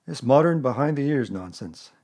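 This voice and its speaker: A man's voice: mid-range voice, expressive, a little fast, darkish tone, husky. A man voicing a gloomy, put-upon donkey who grumbles mournfully and sarcastically in a storybook reading. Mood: gloomy grumbling.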